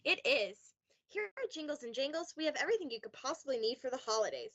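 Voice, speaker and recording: Young woman as high-voiced cheery ad saleswoman. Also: lively intonation, fast, a bit bright. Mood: cheery, promotional. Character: cheery shop saleswoman in mock radio advert. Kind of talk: scripted radio ad pitch.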